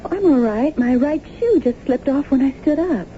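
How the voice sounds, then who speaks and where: Anxious wife, high swooping voice. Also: female voice, expressive, normal speed. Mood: bemused. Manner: hesitant, uneasy. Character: anxious, bewildered young suburban wife. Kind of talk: radio drama acting. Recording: tinny, narrow-band, noisy old radio broadcast.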